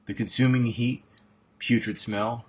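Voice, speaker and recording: A man with a husky voice reading his own novel aloud as scripted narration. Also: mid-range voice, little pitch movement, unhurried. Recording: dull, muffled lo-fi recording.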